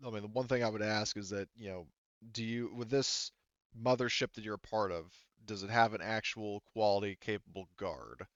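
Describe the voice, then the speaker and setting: A tough, blunt woman who commands a spaceship crew, voiced by a man at a game table. The delivery is conversational and casually profane, in a smooth voice. The recording is dry and a little dull. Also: lowish voice, fairly even intonation, moderate pace, a bit bright, no echo, close to an everyday voice. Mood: blunt, probing.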